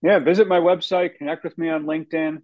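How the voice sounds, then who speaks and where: A man's voice: fairly high pitch, little pitch movement, fast talker, no echo, highs cut off. A man giving interview answers as a guest author, talking conversationally with many fillers.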